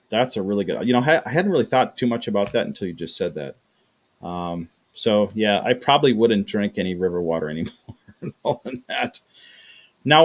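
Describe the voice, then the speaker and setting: A middle-aged man chatting casually on a livestream, often repeating words as he talks. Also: on the low side. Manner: chatty, repeats words, acts out quoted voices. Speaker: livestream host reading chat.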